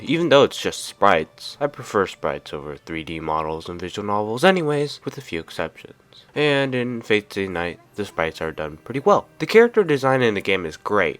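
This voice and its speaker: A man's voice: fairly low pitch, fairly bright tone. A man reading a scripted video review in an informal, opinionated way. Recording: music behind the voice. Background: background music.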